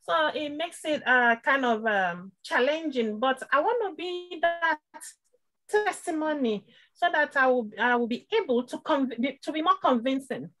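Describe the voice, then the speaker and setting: A woman's voice: sits high, expressive, normal speed, a bit bright, faint music behind, rough recording. A woman who is a student in an IT training program, giving a devout, heartfelt testimony of thanks on a video call, with short laughs now and then.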